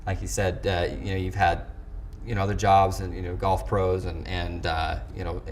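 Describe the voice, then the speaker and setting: A male sports show host with a very low voice conducts a conversational interview. He phrases things in a wordy, halting way, repeating words, with faint noise in the studio behind him. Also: flat delivery. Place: television studio.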